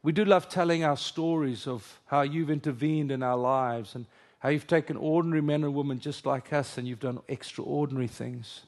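A man's voice: medium pitch, normal speed, a little mellow. A middle-aged man with an Afrikaans accent, whose first language is Afrikaans, gives a conference sermon and leads a prayer, joking and then earnest. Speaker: preacher.

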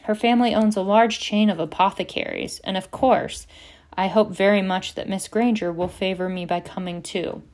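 A woman voicing a stout, genial old professor who booms jovially, in an audiobook. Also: fairly even intonation, normal speed, darkish tone, lightly acted. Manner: booming, jovial. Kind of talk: audiobook character reading.

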